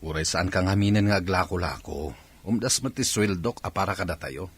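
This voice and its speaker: A man's voice: sits low, fairly quick, slightly rough. A man playing a caring, mildly worried husband in a radio comedy drama, with an Ilocano accent. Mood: concerned.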